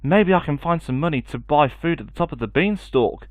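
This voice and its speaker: A man's voice: fairly high pitch, lively intonation, brisk, dry recording, lightly acted. Man playing brave, earnest young storybook boy. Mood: hopeful.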